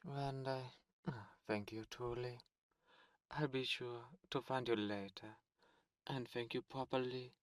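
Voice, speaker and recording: A man's voice: mid-range voice, steady tone, unhurried, no echo, studio quality, natural voice. A man voicing a suave, cold male demon prince in an ASMR roleplay, speaking in a silky, condescending and menacing way. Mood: gracious. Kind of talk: ASMR roleplay audio drama.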